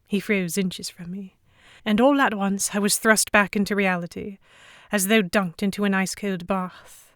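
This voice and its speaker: Lady reading out a first person story, mid-range voice, expressive n dramatic, audio drama narrator. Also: mid-range voice, moderate pace, no echo, clean studio sound.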